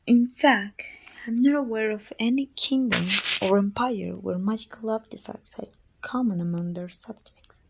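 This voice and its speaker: Woman voicing an evil queen, haughty, dominant, husky half-whispers, vocal fry, teasing condescension, ASMR roleplay. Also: mid-range voice. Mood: intrigued, calculating. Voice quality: husky, breathy with whispered edges. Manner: imperious, musing, teasing condescension. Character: dominant, haughty evil queen softening toward her little helper.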